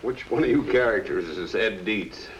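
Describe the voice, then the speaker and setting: An actor plays a genial American private eye in a 1950s crime film. His voice sits on the low side and he questions people calmly and courteously. The old soundtrack carries faint noise. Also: moderate pace, muffled recording, lightly acted. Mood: calm, probing. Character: calm, portly private detective, genial but probing. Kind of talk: 1950s film noir acting.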